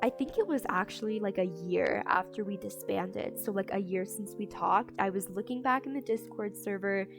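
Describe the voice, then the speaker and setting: A woman's voice: medium pitch, normal speed, creaky, few pauses. A young adult woman telling a casual storytime, candid and chatty, with lots of "like" and incredulous emphasis. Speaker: storytime creator. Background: background music.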